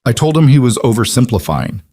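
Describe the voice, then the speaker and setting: A man's voice: medium pitch, sing-song, fairly quick, warm tone, slightly rough, no room sound, pitched above the performer's own voice. An earnest young girl of eight , played by a male narrator, speaks carefully and precisely. She is stubborn but reasonable, in a dry, close audiobook recording. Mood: aggrieved, stubborn. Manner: careful, reasonable, matter-of-fact.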